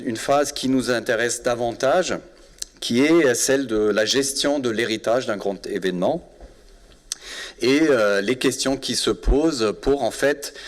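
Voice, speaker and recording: A man with a Bavarian German accent introduces a public lecture as its moderator. His speech is halting and full of fillers, and his voice sits at an ordinary middle pitch. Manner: hesitant, many filler sounds. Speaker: urban planning professor, event moderator.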